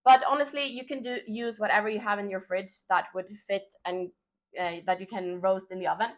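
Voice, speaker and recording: A woman with a Swedish accent, a native Swedish speaker, giving a relaxed, chatty cooking demonstration in her home kitchen, with plenty of fillers. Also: mid-range voice, brisk. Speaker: cooking show host.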